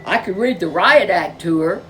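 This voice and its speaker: A woman's voice: fairly low pitch, lively intonation, moderate pace, a bit bright, recorded from a distance, faint music behind, poor audio. A woman voicing a blustering father bear in a storybook, emphatic and prone to stammering. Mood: blustering indignation. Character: blustering papa bear, loud and easily abashed.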